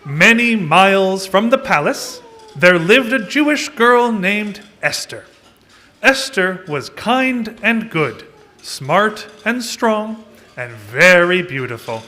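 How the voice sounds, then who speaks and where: A man narrating a children's puppet show in deliberate, expressive storybook style. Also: high voice.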